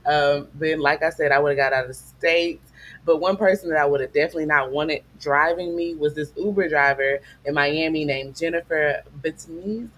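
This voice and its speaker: A man hosting a casual podcast, relaxed and quick to laugh, hedging his opinions. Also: on the high side. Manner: relaxed, laughs easily, hedges. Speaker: podcast host. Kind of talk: casual chat.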